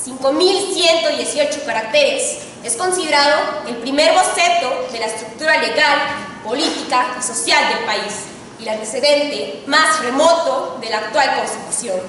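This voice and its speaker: A young woman student with a Mexican accent giving a formal, solemn oratory speech with emphatic delivery and dramatic pauses. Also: high-pitched, a bit bright. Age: teens to young adult. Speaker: student contestant in an oratory contest. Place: large reverberant indoor hall. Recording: echoey hall, distant mic, faint noise.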